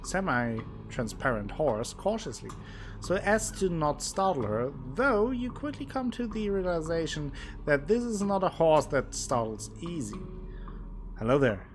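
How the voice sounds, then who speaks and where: A man's voice: very animated, measured pace. A man streaming a game, reading its text aloud and joking between the lines. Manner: playful, reads narration with exaggerated pitch swings. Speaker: gameplay streamer. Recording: music playing underneath.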